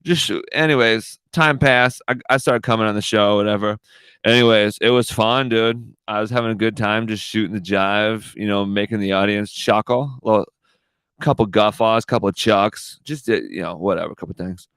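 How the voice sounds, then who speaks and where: A man's voice: on the low side, fairly even intonation, moderate pace, a bit bright, clear, resonant tone, dry recording. A young man hosting his own podcast, rambling in a laid-back, profane way and saying dude a lot, into a podcast microphone. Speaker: podcast host. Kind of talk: rambling casual monologue. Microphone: studio podcast microphone.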